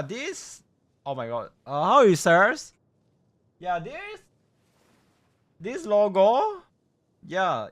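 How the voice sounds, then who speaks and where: A man chatting casually as he hosts a game livestream, halting and repeating himself, with loose non-native English phrasing. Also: a little high, fairly bright tone. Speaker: livestreamer hosting a game stream.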